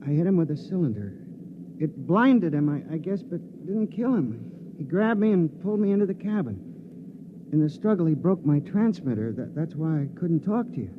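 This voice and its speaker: A mild, self-effacing little hero in a 1950s radio play. His voice is fairly high and hesitant. The old recording is thin as a phone line, with clear noise. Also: very animated, normal speed. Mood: shaken, modest. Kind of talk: 1950s radio drama acting.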